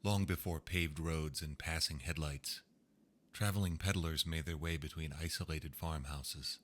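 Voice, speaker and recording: Super deep guy telling spooky old stories slow and hushed, faint hiss behind. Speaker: narrator. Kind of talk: scripted ghost story narration.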